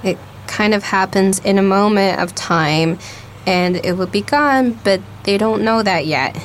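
An actress playing a skeptical thirteen-year-old girl in a radio play, terse, guarded and deadpan. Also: medium pitch, a little slow, a little hiss or hum, lightly acted. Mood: earnest, wistful. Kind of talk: naturalistic radio play acting.

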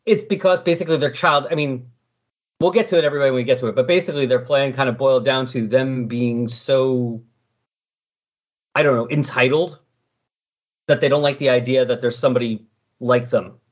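A man's voice: mid-range voice, fairly even intonation, a little fast, long pauses. A man hosting a podcast in casual chat, rambling and correcting himself as he recaps, on a dull, lo-fi recording. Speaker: podcast host. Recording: dull, lo-fi sound.

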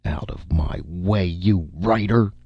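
A man voicing a loud, furious, domineering film director in an audiobook story, bellowing and exasperated. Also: low voice, slow, slightly rough, no room sound, muffled recording, voice pushed up, full character voice. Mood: menacing.

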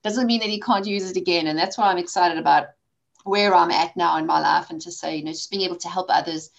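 A woman in her forties from South Africa, a guest in a conversational interview, telling her story candidly with quick asides. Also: on the low side, creaky, muffled recording. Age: 40s. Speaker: podcast guest, coach and author.

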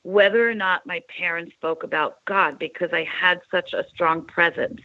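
A woman's voice: lowish voice, steady tone, normal speed, dry recording. Hesitant woman guest on a dull line. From Long Island, New York. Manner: rambling, hesitant, many fillers. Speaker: interview guest. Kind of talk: telling a personal faith story.

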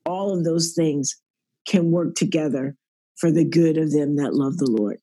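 A middle-aged woman with a deep, raspy voice, a podcast guest speaking earnestly about her faith and growing up. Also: measured pace, dark tone, no echo, low-quality recording. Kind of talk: reflective podcast interview. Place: at work.

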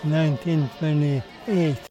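An older man, telling his life story in an interview in a halting way, with many restarts and repeated words. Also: a little high, fairly even intonation, mellow, highs cut off, soft background music, some chatter behind. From Mexico, raised in Hungary. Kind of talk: recorded interview.

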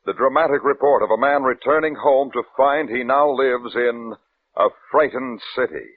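A man's voice: mid-range voice, moderate pace, slightly rough. A man announcing credits and coming shows for a vintage radio drama, in a formal, dramatic style. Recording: old phone-like radio broadcast with music.

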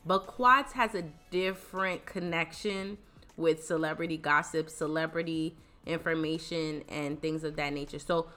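Brief: congested woman in her thirties, podcast host, bright and forceful tone, slightly low pitch, chatty. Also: steady tone, normal speed. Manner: chatty, laid-back, lots of fillers. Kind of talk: casual podcast chat.

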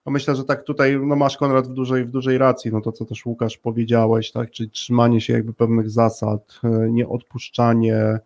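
A man's voice: medium pitch, flat delivery, a little fast, hardly any pauses, a little mellow. This is a male podcast host with a mid-range voice. He talks in a conversational interview style, wordy and affirming toward the people he is speaking with.

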